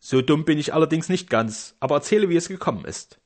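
A man doing a sly, boastful highway robber. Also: expressive, fast talker, natural voice. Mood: eager. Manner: boastful, eager.

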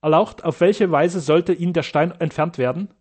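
Fearless German doctor, heated and formal, voiced a touch high by a male reader. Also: normal speed, a little mellow, husky. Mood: urgent.

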